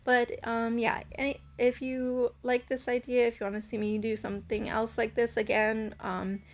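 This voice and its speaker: A young woman with a hoarse, scratchy voice, rambling through a casual gameplay voice-over with lots of fillers. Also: on the high side, level tone, moderate pace. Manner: rambling, hesitant, full of fillers. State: hoarse from karaoke. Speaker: channel host.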